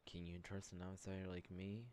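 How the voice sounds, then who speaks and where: A man's voice: low voice, flat delivery, normal speed, background noise. A man giving casual gameplay commentary, thinking aloud informally and reading dialogue choices out. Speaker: game streamer playing through a story quest. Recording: game music behind the voice.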